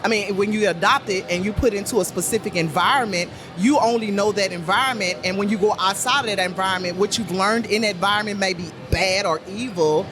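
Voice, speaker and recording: A woman with a deep voice talking as a podcast guest, rambling with many restarts and fillers. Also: fast, hardly any pauses, music in the background. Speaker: guest, puppet show creator. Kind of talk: casual podcast conversation.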